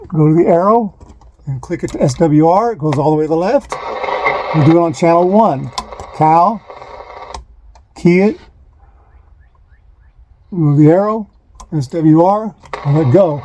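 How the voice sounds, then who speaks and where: A man with a somewhat high voice talks through a hands-on demonstration in a casual, halting way. He sounds like he is working things out as he goes. The phone recording from inside a van carries noticeable radio static and clicking. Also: mellow, poor audio. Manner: halting, thinking aloud while handling equipment. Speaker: vlogger, amateur DIY installer. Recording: clear background noise, radio static and clicks. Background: CB radio static and knob clicks.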